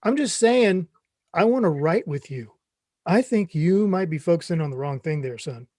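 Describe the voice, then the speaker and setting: Eager, clueless middle-aged stepdad type, played by a man, high for a male, earnest comedy read, dry recording. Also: expressive, moderate pace, a little mellow, dry recording. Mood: chummy. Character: Craig, mom's 55-year-old boyfriend, clueless, eager, chummy. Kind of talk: comedy script table read.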